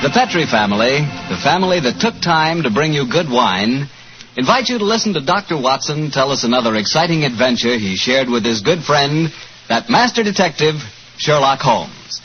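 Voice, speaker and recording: A man announcing a vintage radio program with a bright, forceful tone and lively rise and fall in his voice. The sound is dull and muffled like an old broadcast, with faint music underneath. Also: medium pitch, normal speed. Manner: polished, upbeat announcer delivery.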